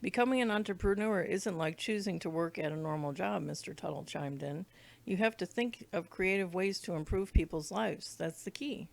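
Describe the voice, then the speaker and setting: A woman's voice: fairly low pitch, moderate pace, vocal fry. A woman reading a children's book aloud, now and then stumbling over words and rereading them. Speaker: read-along reader.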